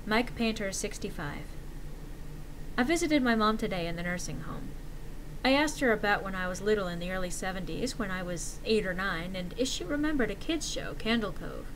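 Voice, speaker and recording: A woman giving an expressive, theatrical reading of a horror story written as forum posts. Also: medium pitch, normal speed, noisy background.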